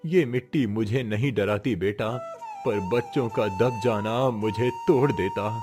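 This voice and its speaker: A man's voice: fairly low pitch, measured pace, over music, natural voice. A man acting a poor, weary but resolute village father, earnest and comforting, in a cartoon moral story. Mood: solemn, reassuring.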